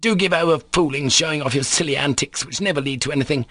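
Male reader as indignant daughter, higher pitch, sharp-tempered, scolding, impatient, audiobook character. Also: fast, no echo.

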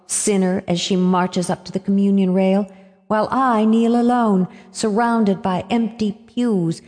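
A woman's voice: on the high side, warm tone, no room sound, pitched above the performer's own voice. A woman voicing a bitter, strained mother in a storybook reading, with an emphatic, wounded manner. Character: bitter, strained small-town mother, brittle and blurting.

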